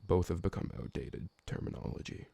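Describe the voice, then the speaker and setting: A man playing a gentle, awkward, polite old vampire servant who talks hesitantly and deferentially in an ASMR roleplay. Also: low-pitched, fairly quick, vocal fry, slightly rough, no echo, full character voice. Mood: gentle, tentative. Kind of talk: ASMR roleplay audio drama.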